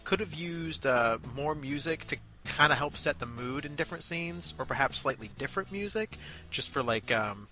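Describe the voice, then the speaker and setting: A man co-hosting a casual review show, giving measured, agreeable commentary with some hesitation. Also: a little high, animated, sharp tone, faint music behind. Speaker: co-host of an amateur review show.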